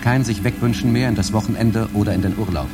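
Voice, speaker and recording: A man's voice: fairly low pitch, fast talker, loud music behind the voice, lightly acted. A man playing a market researcher in a radio drama, reading a psychological study in a formal, matter-of-fact way. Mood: clinical. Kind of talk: scripted report reading in satirical radio drama.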